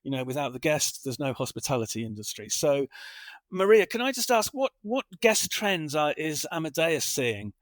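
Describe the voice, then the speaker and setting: A man's voice: a little high, big pitch swings, moderate pace, no echo. A man hosting a corporate podcast, chatty and loosely structured, repeating phrases while he frames his questions. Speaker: podcast host.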